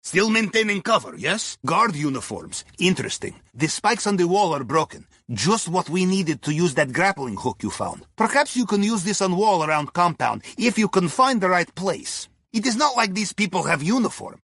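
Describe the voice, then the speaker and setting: Scheming, jovial Russian mission guide for a game; bright, forceful, husky delivery, theatrical and clipped, recorded very dry. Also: male voice, high voice, big pitch swings, normal speed, hardly any pauses, no room sound, full character voice. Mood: brisk, conspiratorial. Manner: theatrical, clipped phrasing with dropped articles. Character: jovial, wily Russian submarine captain's heist planner. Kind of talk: video game character dialogue, mission briefing.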